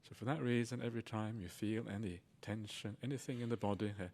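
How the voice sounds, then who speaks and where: A man with a fairly low voice gives guided meditation instruction. He sounds soothing and reassuring, taking his time like a teacher at a retreat. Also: fairly even intonation, quick pace, darkish tone. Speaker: Buddhist monk and meditation teacher.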